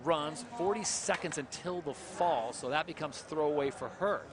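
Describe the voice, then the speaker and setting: A man calling a live skateboarding contest as play-by-play commentator, brisk and informative. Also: fairly high pitch, crowd noise. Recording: loud background noise.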